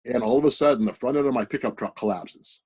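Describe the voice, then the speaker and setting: A man's voice: medium pitch, quick pace, darkish tone, slightly rough. A man on a video call earnestly telling a personal spiritual story in a plain, direct way. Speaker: participant sharing a story.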